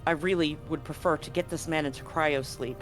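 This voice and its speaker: A man's voice: on the high side, steady tone, a little fast, a bit bright, soft background music, natural voice. A man playing an anxious, grave doctor in a tabletop game, explaining things clinically and hesitantly. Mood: urgent, insistent. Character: anxious, grave male doctor at a remote medical facility.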